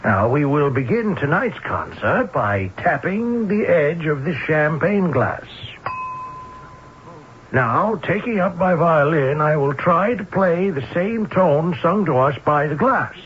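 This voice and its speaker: An urbane, theatrical professor turned sleuth in a vintage radio drama. His fairly high male voice moves expressively, with a showy, precise, dismissive manner. The broadcast is dull, with faint noise. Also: animated, takes it slowly. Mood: showy, confident. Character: urbane, theatrical, self-assured male professor-sleuth who plays violin. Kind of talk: old-time radio drama acting.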